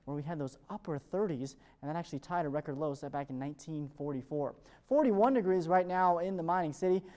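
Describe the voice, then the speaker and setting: An American man delivers a morning TV weather forecast. He talks quickly and fluently in an upbeat, polished presenter's style, moving briskly from one point to the next. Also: fairly high pitch, darkish tone. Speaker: television meteorologist.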